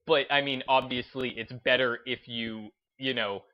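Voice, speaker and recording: A man giving off-the-cuff opinion commentary on a gaming channel, speaking in halting, emphatic short bursts. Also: medium pitch, steady tone, lo-fi sound.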